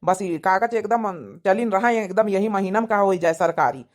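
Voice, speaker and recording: A woman's voice: medium pitch, normal speed, natural voice. A woman voicing a chatty middle-aged village matchmaker uncle in a cartoon comedy, rambling persuasively with an Awadhi accent.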